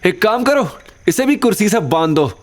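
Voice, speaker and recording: A man's voice: high-pitched, very animated, normal speed, pitched above the performer's own voice. A man voicing an arrogant, vengeful villain in an audio drama, gloating and giving orders. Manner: gloating, commanding. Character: vengeful, gloating male villain, arrogant city gang boss.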